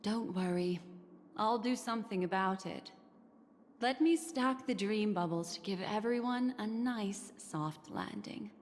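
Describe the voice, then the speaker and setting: A woman's voice: medium pitch, measured pace, lightly acted. A woman voicing a composed, confident game character with an assured, playful manner. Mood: reassuring, playful. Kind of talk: video game cutscene voice acting.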